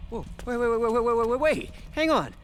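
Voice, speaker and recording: An actor playing a hapless, panicky soldier in a video game, whiny and stammering, with an American accent. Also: male voice, extremely high pitch, expressive, a little fast, a little hiss or hum. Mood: panicked, defensive. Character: hapless, panicky male soldier, comic bungler. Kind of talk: comic video game voice acting.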